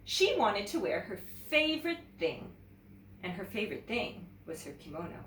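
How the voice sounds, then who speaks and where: A woman teacher reading a children's story aloud in an expressive, animated way, talking directly to young viewers. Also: mid-range voice, moderate pace. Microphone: camera mic at some distance. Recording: roomy, distant mic with background noise.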